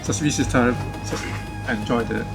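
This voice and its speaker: A man's voice: medium pitch, normal speed, creaky, music in the background. A man visiting the event, introducing himself briefly and hesitantly.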